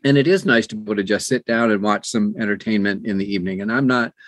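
A man's voice: on the low side, fast talker, dry recording. Older American man, close dry sound. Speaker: guest, audiobook narrator and publisher. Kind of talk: casual conversational interview.

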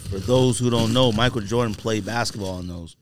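A man's voice: fairly low pitch, brisk, slight background noise. A man co-hosting a podcast in casual, slangy banter. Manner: informal, slangy talk. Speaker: podcast co-host.

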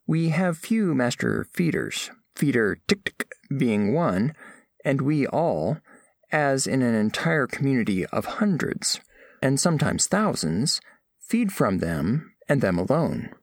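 A man's voice: medium pitch, big pitch swings, slow, no echo, clean studio sound, exaggerated voice. A man playing a formal, curious alien scholar who narrates an audio drama in precise, clinical wording. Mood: formal curiosity. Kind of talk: scripted first-person story narration.

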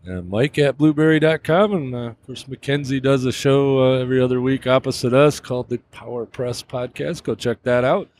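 A man's voice: medium pitch, fairly quick, few pauses, darkish tone, a little hiss or hum. A man co-hosting a podcast, chatting casually in loose, easygoing phrases. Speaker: podcast co-host. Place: booth in a very large trade show hall. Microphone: borrowed podcast microphone.

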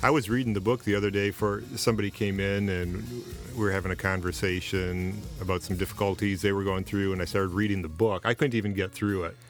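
A middle-aged man co-hosting a podcast, speaking earnestly and softly. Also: deep voice, steady tone, quick pace, few pauses. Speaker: co-host. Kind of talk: casual chat. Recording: faint music behind.

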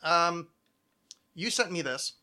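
A man's voice: fairly high pitch, animated, takes it slowly, bright, forceful tone. A man hosting a podcast with casual comedic banter, rambling and sardonic, swearing freely. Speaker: podcast host.

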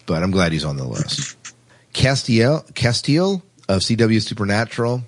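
Man, low pitch, podcast host, casual discussion, repeated phrases. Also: very animated, normal speed. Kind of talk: casual discussion of TV sci-fi characters.

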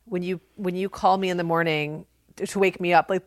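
Podcast mom in her 40s, lowish voice, projects a lot, totally dry sound. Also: fairly quick, dry recording. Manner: animated storyteller, loud projecting voice. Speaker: podcast co-host. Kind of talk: casual chat.

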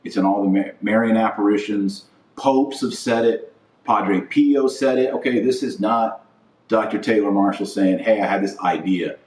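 Low-pitched man, emphatic podcast host. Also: normal speed, a little mellow. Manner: emphatic, blunt, repetitive phrasing. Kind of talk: impassioned opinion monologue. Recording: roomy echo, distant from mic.

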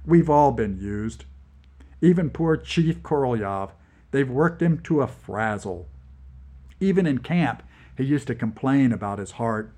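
A man voicing Vlad, a Soviet scientist held prisoner, who rants in a high, shouting voice. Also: lively intonation, measured pace. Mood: bitter. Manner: shrill indignant shouting. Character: Soviet male scientist, furious, shrill ranting prisoner.

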